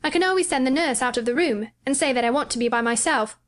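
A woman's voice: sits high, quick pace, pitched above the performer's own voice, close to an everyday voice. A woman voicing a lonely, imperious and secretive young boy in an audiobook. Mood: imperious, confiding. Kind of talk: audiobook character voice.

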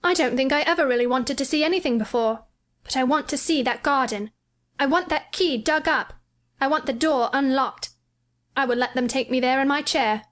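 A woman's voice: sits high, normal speed, a bit bright, pitched above the performer's own voice. A woman reading an audiobook, voicing a sickly, spoiled ten-year-old boy who talks in a demanding, coolly indifferent way. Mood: eager. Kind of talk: audiobook character voice.

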